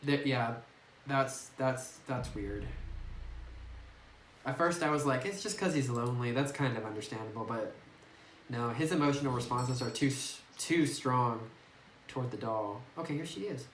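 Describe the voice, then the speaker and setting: A man's voice: mid-range voice, measured pace, long pauses. A man giving casual, reflective commentary as he plays a game, thinking aloud and recorded on a phone microphone. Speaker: video game player and narrator. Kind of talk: casual playthrough commentary, reading dialogue aloud. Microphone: phone, some distance away. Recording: roomy, noisy distant phone microphone.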